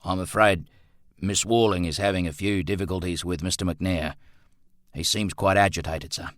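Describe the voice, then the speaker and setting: A man's voice: deep voice, fairly even intonation, normal speed, fairly bright tone, no room sound, clean studio sound. A man voicing a polite, hesitant working man who speaks deferentially to his master in an audiobook. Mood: uneasy.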